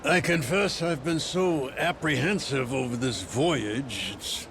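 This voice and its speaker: A man's voice: medium pitch, slow pace. An actor playing an anxious, formal ship's crewman in a video game, fussy and wordy, with noise around him. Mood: apprehensive. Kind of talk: video game dialogue acting. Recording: clear outdoor ambient noise.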